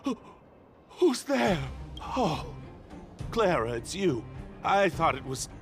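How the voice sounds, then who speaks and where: A man's voice: high voice, very animated, takes it slowly, heavily acted. A man playing a jittery, easily frightened night patrolman in a video game, stammering and melodramatic. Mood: startled, nervous. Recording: game music behind the voice. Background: game background music.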